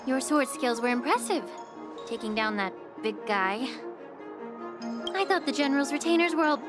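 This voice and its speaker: A poised young female investigator in a fantasy video game. Her voice is high and lively, courteous and articulate, with loud music behind it. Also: moderate pace. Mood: grateful.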